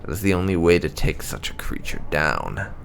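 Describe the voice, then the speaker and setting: A man reading game lore entries aloud as scripted narration, in a formal, measured, deliberate way. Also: low voice, very animated, creaky, slight background noise. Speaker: gaming channel host.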